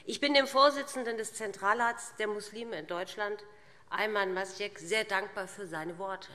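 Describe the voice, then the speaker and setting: Middle-aged female politician, medium pitch, formal and emphatic podium delivery, long hall reverb. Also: moderate pace, bright, forceful tone. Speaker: parliamentary speaker for a party group. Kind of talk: formal prepared political speech. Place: large indoor parliament chamber. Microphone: lectern microphone.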